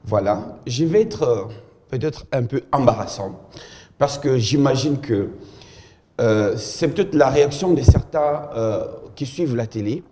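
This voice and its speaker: A man hosting a studio interview, measured and full of hesitations. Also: medium pitch, lively intonation, mellow, long reverb tail. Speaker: TV talk show host.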